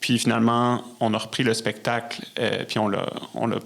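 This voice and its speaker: A man's voice: medium pitch, little pitch movement, a little fast, creaky, fairly bright tone. A man with a Québécois French accent talking casually as an interview guest, reflective and a little hesitant. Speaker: podcast guest, musician and storyteller.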